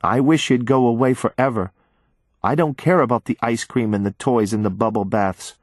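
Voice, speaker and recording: A man's voice: medium pitch, moderate pace, a little mellow, no echo, studio quality, natural voice. A man voicing a frightened small child who pleads in a plaintive way, read as audiobook narration. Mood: scared pleading.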